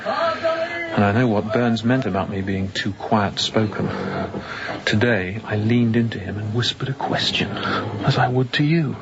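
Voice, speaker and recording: Haunted young ship's captain, radio drama, quiet-spoken, very animated intonation, muffled sound. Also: male voice, fairly low pitch, a little slow, heavy background noise. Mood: anxious, confiding. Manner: quiet-spoken, dropping to whispers. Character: young, newly appointed sea captain, tense and haunted.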